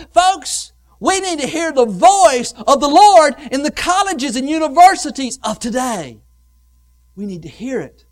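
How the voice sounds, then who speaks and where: A man preaching a sermon in an emphatic oratorical style, with repeated phrases and dramatic pauses, swinging between hushed and loud delivery. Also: very high voice.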